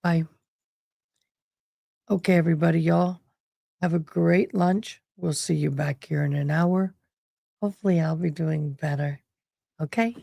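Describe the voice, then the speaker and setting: A worn-out woman hosts a livestream, her voice gravelly and low, slow and mellow. She chats casually and affectionately, calling viewers babe and love. The sound is dry and close. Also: fairly even intonation, no room sound. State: worn out, voice struggling. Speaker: livestream host covering a trial.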